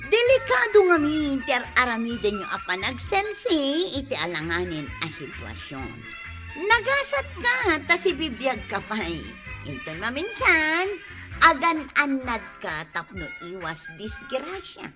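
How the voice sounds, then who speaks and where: A woman announces a radio drama with an Ilocano accent. Her high voice swings dramatically through scripted lines, taken slowly. Prominent music plays behind her. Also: wildly swooping pitch.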